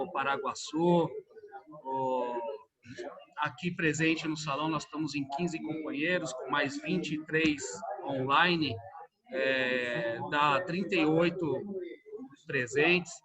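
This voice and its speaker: A man's voice: a little high, slow, a crowd in the background, rough recording. A man with a Brazilian Portuguese accent who chairs a meeting, speaking in a courteous, formal way.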